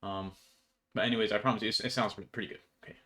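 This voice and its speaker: A man's voice: lowish voice, steady tone, rapid-fire, sharp tone, a little hiss or hum. A young man giving an informal code walkthrough in an offhand, slangy way. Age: young adult. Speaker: programmer presenting his project. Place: indoor room at home.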